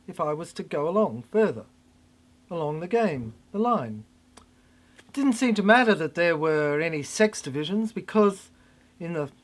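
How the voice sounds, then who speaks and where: A performer delivering a theatrical spoken-word monologue in fragmented, halting phrases with dramatic swings. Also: medium pitch.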